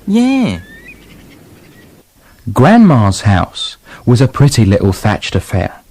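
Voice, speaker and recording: An actor playing a fussy, defensive male writer who haltingly narrates a fairy tale in a comic radio play. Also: medium pitch, wildly swooping pitch, dark tone, exaggerated voice. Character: flustered, defensive male storyteller, making it up as he goes.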